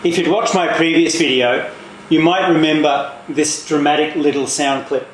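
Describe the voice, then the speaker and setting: Male presenter explaining to viewers, slightly raised pitch, recorded in a roomy space with slight hiss. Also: steady tone, normal speed. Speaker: video presenter. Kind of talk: explanatory video narration. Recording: echoey room, faint background noise.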